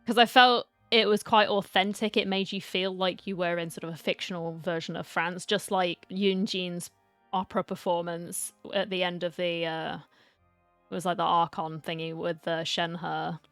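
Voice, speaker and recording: A woman's voice: on the low side, little pitch movement, normal speed, a bit bright, clean tone, no echo. A woman giving casual, chatty reaction commentary on a video game, talking back to the characters. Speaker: reaction streamer. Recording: faint game music behind.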